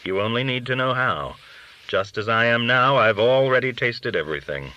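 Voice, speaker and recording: A man's voice: medium pitch, lively intonation, normal speed, bright, forceful tone, muffled recording. A man reading an audiobook, voicing a worldly, cynical, boastful underworld dealer who talks in glib, argumentative rhetorical questions. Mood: glib, breezy. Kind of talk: audiobook character dialogue.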